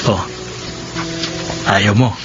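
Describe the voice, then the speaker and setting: A man in a Filipino radio drama playing a brusque but kindly doctor with a brisk, matter-of-fact manner. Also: fairly low pitch, steady tone. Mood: firm, kind. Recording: dull lo-fi sound, music behind.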